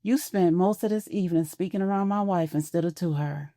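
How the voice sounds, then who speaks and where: A woman's voice: on the low side, brisk, dark tone, no room sound, pitched above the performer's own voice, lightly acted. A woman voicing a middle-aged husband, pleading at first and then firm, recorded dry and close.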